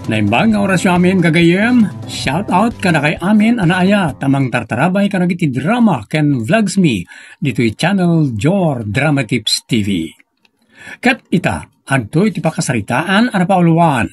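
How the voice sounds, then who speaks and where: A man's voice: a little high, very animated, moderate pace, slightly rough, soft background music. A man announcing a comedy drama programme, giving greetings and the episode introduction.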